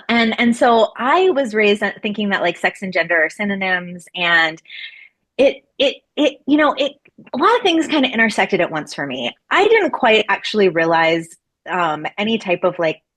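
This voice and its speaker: A woman's voice: mid-range voice, sing-song, moderate pace, fairly bright tone. A woman in her forties from Florida, a laboratory medicine researcher talking casually as an interview guest, with many fillers and restarts. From Florida, USA. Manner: chatty, many fillers and restarts, laughs easily.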